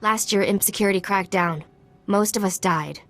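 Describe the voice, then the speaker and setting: A woman's voice: mid-range voice, moderate pace, a bit bright, faint music behind, close to an everyday voice. A woman playing a frightened but earnest former rebel in a video game, hesitant and restarting her sentences. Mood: nervous, earnest.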